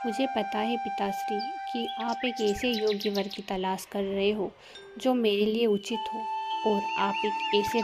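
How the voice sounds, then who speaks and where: A woman's voice: medium pitch, natural voice. A woman voicing a clever, principled young princess in a cartoon moral story, formal and firm as she reasons. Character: clever, principled young princess advising her royal father. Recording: loud music behind the voice.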